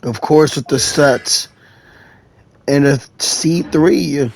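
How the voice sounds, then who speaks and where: A man's voice: on the high side, very slow, vocal fry, slight background noise. A man giving casual gameplay commentary as he plays, reacting off the cuff. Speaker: gamer, let's player.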